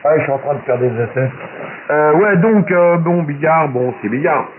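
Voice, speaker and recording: A man's voice: fairly high pitch, moderate pace. Male amateur radio operator, loose slangy talk, a bit crude, heard through thin shortwave with light hiss. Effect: shortwave ham radio transmission. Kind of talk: casual radio chat. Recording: narrow-band shortwave radio with faint static.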